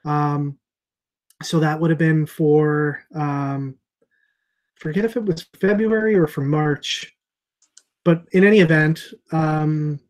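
A middle-aged man hosting a casual video call chat as a comic shop owner, thinking aloud with many pauses and fillers. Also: a little high, fairly even intonation. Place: small room with shelves of comics behind. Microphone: earbud headset on a video call.

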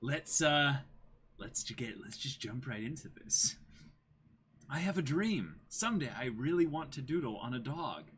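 A man's voice: medium pitch, sing-song, measured pace, fairly bright tone, slightly rough. A man in his twenties hosting a livestream, chatting casually and reading aloud in a jokey way, repeating short phrases. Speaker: streamer hosting a manga dramatic reading. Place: small indoor room with backdrop.

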